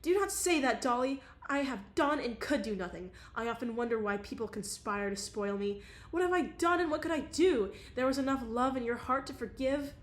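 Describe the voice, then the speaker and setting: A woman reading aloud, voicing an emotional young society woman with a high, excitable voice. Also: normal speed, few pauses, lightly acted. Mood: agitated.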